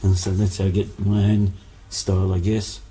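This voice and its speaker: A man's voice: low voice, monotone, moderate pace, warm tone, rough recording. A man, born in Hungary, telling stories as a musician guest in a casual interview, rambling with many fillers and restarts.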